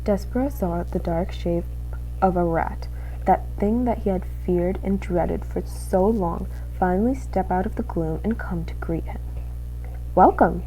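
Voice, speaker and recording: A young woman, a student, reading a storybook aloud in expressive scripted narration. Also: fairly low pitch, a little slow, a little hiss or hum, rough recording. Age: young adult.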